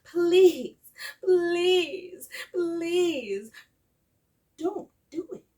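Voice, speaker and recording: A woman's voice: very high-pitched, animated, drawn-out words, warm tone, pitched above the performer's own voice, heavily acted. A woman voicing a small frightened talking parrot in a folktale, pleading and repeating itself. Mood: desperate pleading. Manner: pleading, repetitive.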